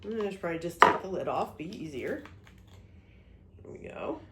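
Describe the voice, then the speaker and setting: A woman's voice: lowish voice, animated, moderate pace, very noisy. A woman hosting a home cooking video, talking through each step while getting over a cold, checking in with "okay?" as she goes. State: recovering from a cold, voice a bit off. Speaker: cooking vlog host. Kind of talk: casual cooking demonstration. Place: home kitchen. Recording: echoey kitchen room.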